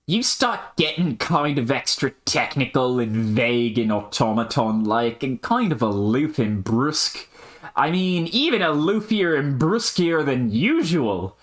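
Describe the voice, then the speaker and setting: A man voicing an excitable, exasperated young adventurer in amateur scripted dialogue, animated and exclamatory, with quaint slangy cursing. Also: mid-range voice.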